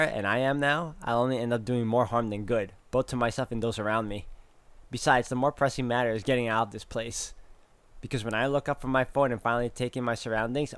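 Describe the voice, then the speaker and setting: A man's voice: mid-range voice, dry recording. A man playing through a visual novel, reading its text aloud and adding casual commentary. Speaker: game streamer doing a walkthrough. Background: game music.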